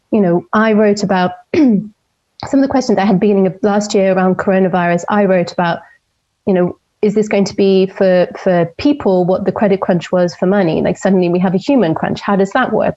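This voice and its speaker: A woman from Portugal in her forties, an opinion columnist answering interview questions in a measured, thoughtful way with frequent ums. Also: mid-range voice, dark tone, highs cut off. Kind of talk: interview over a call.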